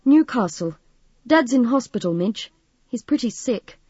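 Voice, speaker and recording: A woman's voice: on the high side, lively intonation, measured pace, mellow, husky, no echo, muffled recording, pitched above the performer's own voice. A woman voicing a worried, polite young man in an audiobook, with a hesitant, earnest manner.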